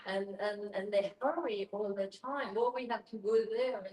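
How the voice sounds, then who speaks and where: A woman's voice: mid-range voice, moderate pace, darkish tone. A woman taking part in a casual group discussion, speaking emphatically with repeated phrases. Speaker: discussion participant. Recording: echoey room.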